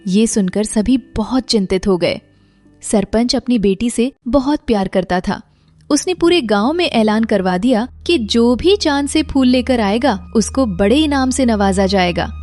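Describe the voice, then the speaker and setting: Slightly high-pitched female storyteller. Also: expressive, unhurried, darkish tone, soft background music. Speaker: narrator. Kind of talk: scripted story narration.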